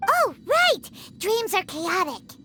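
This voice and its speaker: A woman voicing a tiny, excitable, childlike floating fairy companion in a video game, blurting out reactions and fretting. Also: very high-pitched, slow pace, slight background noise, full character voice. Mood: curious, eager. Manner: refers to self in third person, blurts reactions. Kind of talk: English video game voice acting. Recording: game music under the voice.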